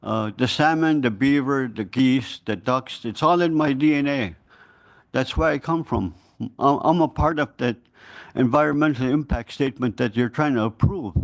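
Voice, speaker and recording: A man in his sixties from the Middle Yukon in Alaska, giving earnest public testimony with halting restarts that turns urgent and pleading. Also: medium pitch. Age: 60s.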